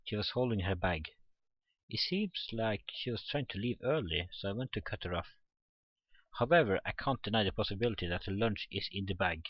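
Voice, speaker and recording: Low-voiced man reading a visual novel aloud. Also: animated, a little fast, breathy edge, no room sound, muffled recording. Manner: acts out dialogue and exclamations, stumbles over words. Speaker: let's play streamer. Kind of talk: reading visual novel script aloud in let's play commentary. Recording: game music behind the voice. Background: visual novel background music.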